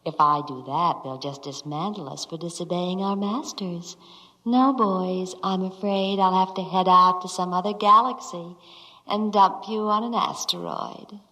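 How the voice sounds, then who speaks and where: A woman's voice: on the low side, a little slow, pushed and bright. A woman playing a romantic, headstrong ship's computer with theatrical, melodramatic flair in a 1950s radio drama. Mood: imperious. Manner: melodramatic, grandly sentimental. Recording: 1950s radio recording, long reverb.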